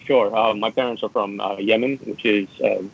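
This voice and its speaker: A young man from New York, a student guest, speaking earnestly but haltingly with many uhs. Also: on the low side, fairly even intonation, vocal fry, slightly rough. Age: young adult. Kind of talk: casual interview. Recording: dull lo-fi audio.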